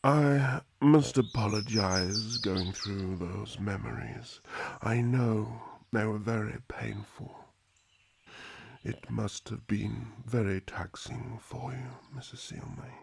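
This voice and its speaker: A man playing an older elf High King in a fantasy ASMR roleplay, calm, formal and soothing, often dropping to a whisper. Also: takes it slowly, creaky, husky, heavily acted. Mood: gentle, apologetic. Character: regal elf High King, calm, kindly, protective father.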